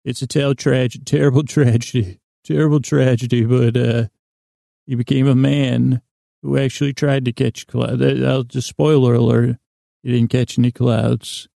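A man hosting a bedtime sleep podcast, rambling in a halting, soothing way with a gravelly, creaky voice. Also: mid-range voice, level tone, warm tone, dry recording, clean studio sound. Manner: meandering, halting, soothing, self-interrupting. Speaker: podcast host.

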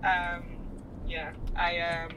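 Woman in her thirties, from Malaysia, giggly and chatty, heard from a distance, loud street traffic behind. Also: lowish voice, completely flat delivery, extremely slow. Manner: chatty, laughs often, lots of fillers. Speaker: interviewee. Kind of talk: casual street interview chat. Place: outdoors on a city street.

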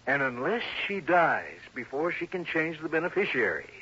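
An actor playing a fretful, suspicious old doctor with a raspy voice in mid-century radio drama style. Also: male voice, on the high side, very animated, moderate pace, bright, forceful tone, full character voice. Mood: worried, suspicious. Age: older. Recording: dull old radio recording.

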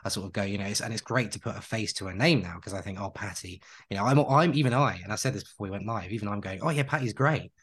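A man's voice: lowish voice, quick pace, few pauses, fairly bright tone, husky. A man co-hosting a podcast, chatting casually and enthusiastically, piling on praise and rambling through restarts. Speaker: podcast co-host.

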